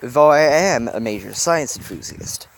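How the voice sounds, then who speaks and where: A man voicing an excitable, teasing young male mascot who loves comics and monsters. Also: mid-range voice, a little slow, creaky, slight background noise. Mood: playful.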